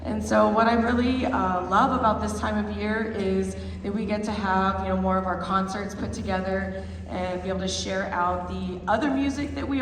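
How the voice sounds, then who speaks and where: A band director, a slightly hoarse woman, chattily introducing a school jazz concert to the audience with many fillers and restarts. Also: mid-range voice. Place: large performance pavilion. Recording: echoey hall, far from the mic.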